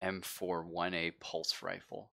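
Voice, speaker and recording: Low, bright-voiced man game mastering. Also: little pitch movement, takes it slowly, vocal fry. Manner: hesitant, searching for words. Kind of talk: casual improvised narration of a tabletop game.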